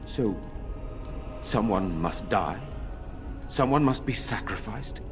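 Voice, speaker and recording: A steady noble lord in a fantasy game, with a lowish voice. He speaks in a formal, deliberate way. Game music is clearly heard behind him. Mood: measured. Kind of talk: video game dialogue.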